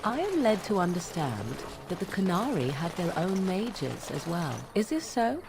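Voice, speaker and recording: An actress playing a haughty, sharp-tongued woman in a fantasy game, formal and mocking. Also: on the low side, sing-song, moderate pace. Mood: mocking, challenging. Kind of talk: fantasy video game voice acting. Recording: music clearly behind.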